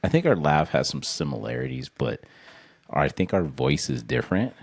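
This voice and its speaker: A man's voice: rumbling low, big pitch swings, normal speed, gravelly, no room sound, clean studio sound. A young adult man co-hosting a podcast in a laid-back, teasing casual chat. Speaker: podcast co-host.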